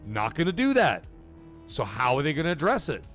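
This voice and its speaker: A man hosting a casual live commentary stream, talking to his chat in an animated, profane way full of rhetorical questions. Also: on the high side, a little fast, faint music behind. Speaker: livestream host.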